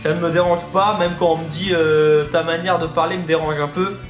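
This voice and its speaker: A high-pitched male voice, talkative and rambling as he hosts a casual video. The room echoes a little and soft music plays behind him. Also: fairly even intonation, fairly quick. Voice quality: atypical articulation, slight lisp-like speech impediment. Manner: talkative, rambling, repeats himself. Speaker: YouTube host of a reptile hobby channel. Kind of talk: casual chatty FAQ video. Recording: roomy echo, faint music behind.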